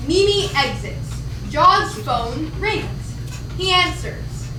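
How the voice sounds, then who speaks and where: High-voiced woman reading stage directions. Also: animated, extremely slow. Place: small theatre stage, distant camera. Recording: echoey theatre, noisy, far from mic.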